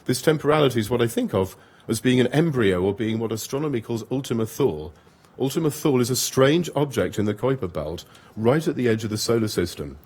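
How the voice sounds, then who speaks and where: A man giving an academic lecture, reading long lists in a steady rhythm with occasional small stumbles. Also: mid-range voice, a little fast, breathy edge. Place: lecture hall.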